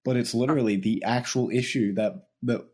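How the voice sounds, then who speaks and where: Hoarse, sick man with a husky voice. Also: mid-range voice, fairly even intonation, normal speed, darkish tone. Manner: teasing, jokey banter. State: hoarse, losing his voice from illness. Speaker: podcast co-host. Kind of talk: casual film discussion.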